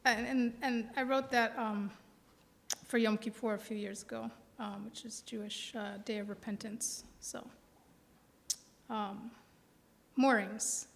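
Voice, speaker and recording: An American woman in her forties, a poet giving a live reading with deliberate phrasing and pauses. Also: on the high side, steady tone, vocal fry, slight background noise. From Milwaukee, American Midwest. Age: 40s. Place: indoor reading before a live audience. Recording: reverberant hall sound.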